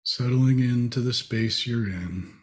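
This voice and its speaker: A man leading a guided meditation, speaking in short, spacious phrases with long pauses. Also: mid-range voice.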